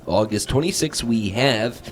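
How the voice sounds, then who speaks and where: A man's voice: mid-range voice, a little slow. A man co-hosting a casual local radio show from a studio, hesitant and stumbling a little as he goes. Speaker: radio co-host. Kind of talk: casual radio chat and announcements. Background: music bed.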